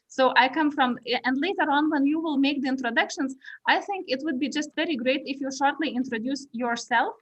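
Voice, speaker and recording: A woman's voice: high voice, fairly quick, no echo. A woman, moderating an online panel over a video call, hesitant with many restarts. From Lithuania. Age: 30s.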